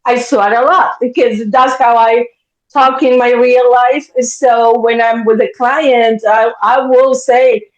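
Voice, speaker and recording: A woman's voice: fairly high pitch, fairly even intonation, takes it slowly, echoey room, highs cut off. A woman in her fifties from Argentina, a therapist guest talking bluntly and directly, swearing freely. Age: 50s. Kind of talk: candid interview talk.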